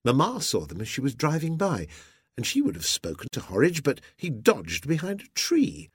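A man voicing a gentle, affectionate sailor's wife in an audiobook, with a soft, genteel and fond manner. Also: fairly high pitch, wildly swooping pitch, moderate pace, slightly rough, no echo, studio quality, voice pushed up, heavily acted. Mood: indignant, consoling.

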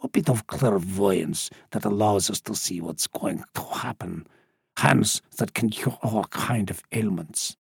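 A man voicing an ancient, gruff, secretive male border smuggler in a storybook, hushed, creaky and muttering. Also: lowish voice, unhurried, no room sound, clean studio sound, voice pushed down, exaggerated voice. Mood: self-satisfied.

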